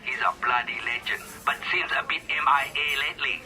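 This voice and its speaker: A man's voice: mid-range voice, a little fast, bright, forceful tone, soft background music. An actor playing a blunt, matey old-school male seafood supplier, heard through a telephone filter with slangy talk. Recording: phone call with background chatter.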